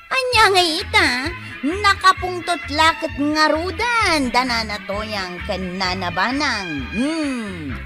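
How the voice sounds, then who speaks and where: A woman playing an excitable, fussy village busybody in a comic radio drama. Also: high-pitched, takes it slowly, loud music behind the voice. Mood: indignant, scolding.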